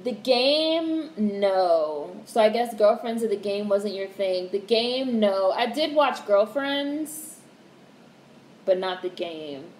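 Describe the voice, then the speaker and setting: A young woman in her twenties chats with her livestream viewers in a flat, monotone way. She speaks candidly, swears casually and reads out comments. The sound is roomy, with a faint hiss behind it. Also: mid-range voice, slow pace. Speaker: livestream host.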